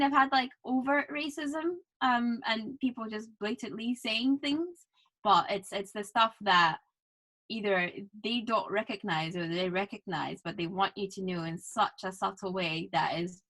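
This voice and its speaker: A woman's voice: medium pitch, normal speed, fairly bright tone, low-quality recording. A woman from southern Africa speaking casually and reflectively in a podcast conversation, hesitant as she thinks aloud. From southern Africa (Zambia and Botswana), later Scotland. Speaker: podcast guest or panelist.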